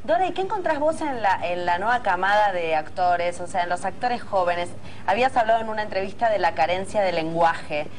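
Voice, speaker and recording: Argentine woman interviewer, mid-pitched voice. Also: expressive, fairly quick, hardly any pauses, slight background noise. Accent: Argentine Rioplatense. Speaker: interviewer, show panelist. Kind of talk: conversational interviewing.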